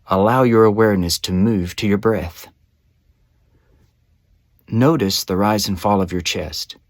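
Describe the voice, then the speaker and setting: A man narrating a scripted guided meditation as a guide, with measured, soothing, instructional phrasing. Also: fairly low pitch, no echo, clean studio sound.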